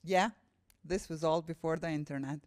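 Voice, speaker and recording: A woman's voice: low-pitched, steady tone, moderate pace, a little mellow, no room sound. Albanian-accented woman, a poet speaking publicly. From Kosovo. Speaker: visiting poet, guest speaker. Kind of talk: public talk and poetry reading. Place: room with a live audience.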